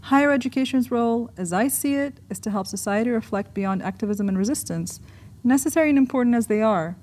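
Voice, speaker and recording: A woman from Egypt reads her own academic essay aloud in a measured, articulate way. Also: a little high, a little mellow. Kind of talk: scripted essay narration, read aloud.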